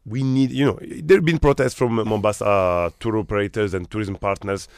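A man's voice: lowish voice, normal speed, a little mellow, clean tone, no room sound, studio quality. A man from Morocco who manages hotels, talking as an interview guest with emphatic rhetorical questions.

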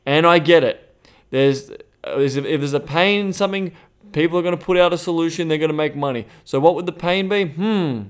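Australian guy, co-host, a bit high-voiced, blunt, swears a lot, animated casual chat. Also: a little fast, clear, resonant tone. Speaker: podcast co-host. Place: Thailand.

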